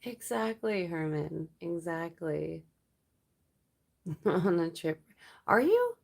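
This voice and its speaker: Young woman from Canada, livestream host, slow unhurried delivery, laid-back and rambling. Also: lowish voice, gravelly. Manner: relaxed, rambling, laughs often. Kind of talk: casual late-night chat with viewers.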